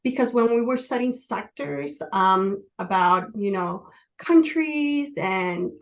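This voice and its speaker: A woman in her forties from Chicago, a native Spanish speaker, telling personal stories as a podcast guest in an earnest, halting way with many fillers. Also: mid-range voice, lively intonation. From Chicago, USA. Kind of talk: casual interview, personal storytelling.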